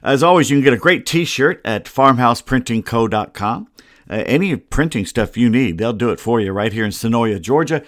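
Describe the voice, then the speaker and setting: A man's voice: mid-range voice, animated, moderate pace, a bit bright, no room sound, studio quality. An American man hosting a solo sports show in a casual, grumbling and wry way, with rambling asides. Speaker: sports podcast host. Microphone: close computer microphone.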